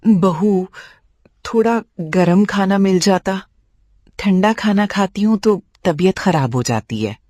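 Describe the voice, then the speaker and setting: A woman voicing a frail, gentle old mother who asks softly and meekly for small comforts. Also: mid-range voice, animated, slow pace, no room sound, clean studio sound. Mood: pleading. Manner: soft, pleading and meek.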